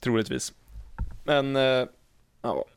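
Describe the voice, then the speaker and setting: A man's voice: mid-range voice, vocal fry, clean tone, no echo. A man hosting a podcast and chatting casually about games. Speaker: podcast host.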